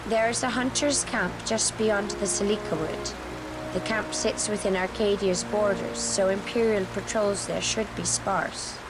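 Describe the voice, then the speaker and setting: Woman acting a calm, cryptic companion. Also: mid-range voice, unhurried, noisy background, close to an everyday voice. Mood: calm, informative. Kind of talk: game cutscene drama acting. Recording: game music behind the voice.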